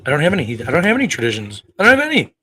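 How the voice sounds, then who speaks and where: A man's voice: a little high, huge pitch swings, rapid-fire, pushed and bright, breathy edge. A man in his thirties preaching on a livestream, arguing with chat in a combative, profane way, firing rhetorical questions. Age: 30s. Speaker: online preacher. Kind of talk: livestream talk answering chat.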